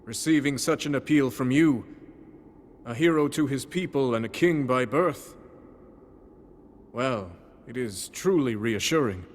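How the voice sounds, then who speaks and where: A man's voice: medium pitch, lively intonation, measured pace, long pauses, a little hiss or hum, lightly acted. An actor playing a wise, dignified king with a stately, formal and assured delivery in a video game cutscene. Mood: gracious, regal.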